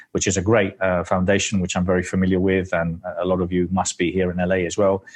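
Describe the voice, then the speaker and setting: A man hosting an interview, introducing his guest with many hesitations and eager restarts. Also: low-pitched. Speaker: host.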